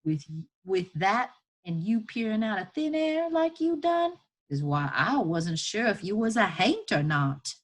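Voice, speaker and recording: A weary, motherly enslaved woman as a storybook reader plays her, firm and scolding but tender underneath. Her voice is husky and mid-pitched, speaking in an old-time rural Southern dialect. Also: sing-song, measured pace. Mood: wary, amazed.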